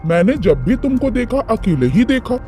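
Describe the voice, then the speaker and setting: A man's voice: sits high, big pitch swings, normal speed, dark tone. A man voicing a gossipy village neighbour who confides and advises in a children's cartoon story. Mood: dismissive. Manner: chatty, confiding. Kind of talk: animated children's moral story dialogue. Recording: music behind the voice.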